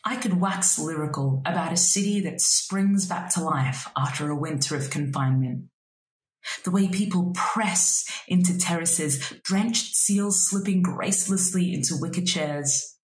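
A woman in her thirties, a native Arabic speaker, reading her own poem aloud in a slow, measured, reflective way at a desk webcam in a small room. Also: on the low side, echoey room, soft background music, rough recording. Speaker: poet. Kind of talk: spoken word poetry reading.

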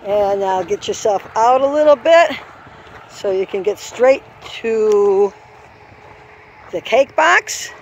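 A woman walking an outdoor horse course with her phone and explaining each obstacle in an unhurried way, now and then correcting herself. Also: medium pitch, animated, muffled recording. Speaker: course designer giving a walk-through. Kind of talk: instructional course walk-through. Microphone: handheld phone camera. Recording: faint outdoor noise, sometimes far from the mic.

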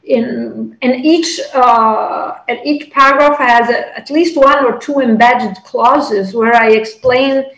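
A woman's voice: on the high side, slow, few pauses. Italian-accented woman on an echoey video call. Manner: searching, qualifying phrasing with soft laughs. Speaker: interview guest, scholar. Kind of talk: reflective academic conversation. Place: small room at home.

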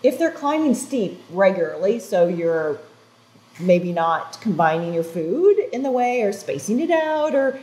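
A woman's voice: mid-range voice, a little slow, poor audio. Older lady guest on a local tv show, earnest, explaining health stuff, lots of so and um, lapel mic. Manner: earnest, explanatory, animated hand gestures. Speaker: wellness strategist guest. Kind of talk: informative interview talk. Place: TV studio set.

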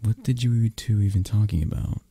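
Man acting out a husky, possessive mafia boss. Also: on the low side, warm tone, no echo. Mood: controlled jealousy. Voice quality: breathy, husky, gravelly. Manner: slow, halting, controlling, condescending. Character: possessive, menacing young male mafia boss lover. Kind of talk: ASMR roleplay, intimate close-up acting.

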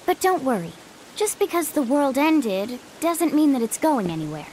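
A woman's voice: sits high, big pitch swings, moderate pace, a little mellow, a little hiss or hum. A woman playing a composed, knowing, mysterious young woman in a video game fandub, cryptic and assured.

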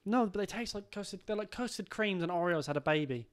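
A man's voice: high voice, expressive, quick pace. A young man co-hosting a casual podcast, blunt and sweary with a dry manner. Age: young adult. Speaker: podcast co-host. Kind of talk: casual banter. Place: small home room. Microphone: studio mic, headphones on.

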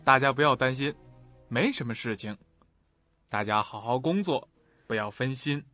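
A man's voice: mid-range voice, animated, moderate pace, sharp tone, soft background music. A man playing an authoritative, reassuring theater manager with a firm, official manner in a radio drama. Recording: dull, dry lo-fi audio.

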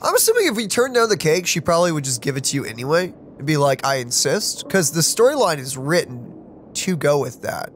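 Animated man doing gameplay commentary. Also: on the high side, brisk, slight background noise. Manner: animated, conversational. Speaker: let's play host. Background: game music.

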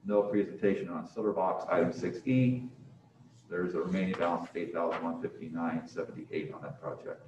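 A man's voice: deep voice, fairly even intonation, fairly quick, darkish tone, slight background noise. A man chairing a board meeting, formally reading out agenda items, picked up by a distant mic in an echoey, muffled room. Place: indoor council chamber. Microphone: distant room microphone on a video call.

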